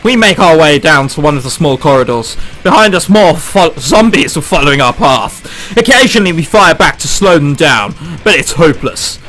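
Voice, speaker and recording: A man's voice: a little high, expressive, normal speed, few pauses, a bit bright, low-quality recording. A man reading a visual novel aloud for a let's play, breaking off into incredulous, sweary commentary. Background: game background music.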